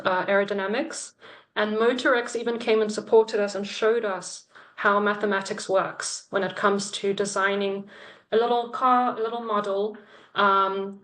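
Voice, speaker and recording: A young woman who grew up in China, talking earnestly on a video call about her experience as a student, with a steady explanatory manner. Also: mid-range voice. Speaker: programme lead sharing her experience. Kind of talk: webinar talk.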